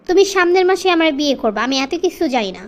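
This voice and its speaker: Actress as very high-pitched, coquettish scheming mistress. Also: female voice, lively intonation, racing, faint music behind. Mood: demanding.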